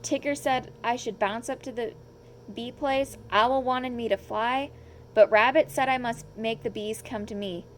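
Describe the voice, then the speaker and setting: Storybook toy bear played by a woman: male, naive, mild, earnest explainer, fairly high pitch. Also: fairly even intonation, a little slow, a little hiss or hum, natural voice. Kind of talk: children's storybook character voice.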